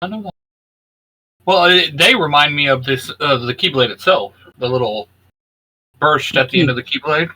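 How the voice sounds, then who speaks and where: A man's voice: a little high, animated, moderate pace, long pauses, bright, forceful tone, rough recording. A man co-hosting a casual chat, chipping in with short, informal reactions and opinions. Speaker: co-host.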